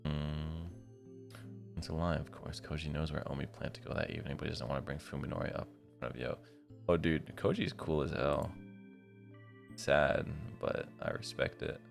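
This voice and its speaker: Very deep, gravelly male streamer over music. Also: fairly even intonation, normal speed. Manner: slangy, informal asides. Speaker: live streamer. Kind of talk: reading a visual novel aloud with casual commentary.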